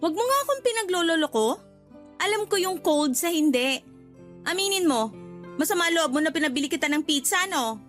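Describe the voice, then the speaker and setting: A demanding young Filipina in a radio drama, with a strikingly high voice. She is pouty, nosy and confrontational, and light music runs underneath. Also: animated, fairly quick, fairly bright tone. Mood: prodding, suspicious. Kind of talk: radio drama acting in casual dialogue.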